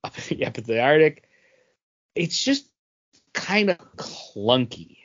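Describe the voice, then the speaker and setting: A man co-hosting a comic book podcast, chatting casually and haltingly as he thinks aloud. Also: medium pitch, sing-song, a bit bright. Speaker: podcast co-host reviewing comic books. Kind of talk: casual chat. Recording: dull, band-limited audio.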